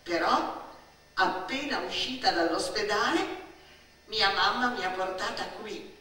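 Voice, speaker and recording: Woman from the north doing a wry stage monologue, bright voice, really echoey and far from the mic. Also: medium pitch, a little hiss or hum. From Turin, Italy. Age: 50s. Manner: expressive, wry, deliberately phrased. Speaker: stage storyteller, actress. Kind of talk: theatrical autobiographical monologue. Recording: distant, very echoey room.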